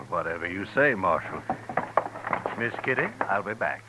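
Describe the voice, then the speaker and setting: A sly gray-haired gambler in a classic radio western. He talks low, smooth and courteous, never ruffled. Clear hiss runs under the old broadcast. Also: expressive, unhurried. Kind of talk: 1950s radio drama acting.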